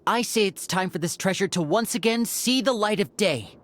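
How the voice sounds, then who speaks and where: A man's voice: high-pitched, lively intonation, moderate pace, fairly bright tone. A man voicing a suave, theatrical, confident young male adventurer in a fantasy video game. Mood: heroic.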